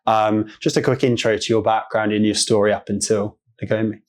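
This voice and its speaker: A man's voice: fairly low pitch, flat delivery, brisk. A man hosting a podcast, interviewing a guest at a conference with upbeat, encouraging replies. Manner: upbeat, short encouraging backchannels. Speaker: podcast host. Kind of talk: casual interview. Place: conference venue.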